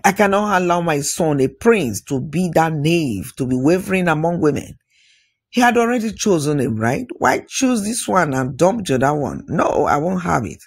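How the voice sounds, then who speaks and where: A man voicing an indignant, husky proud king. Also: a little high, very animated, normal speed, heavily acted. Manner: protesting, rhetorical questions. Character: indignant, proud old-fashioned king and father.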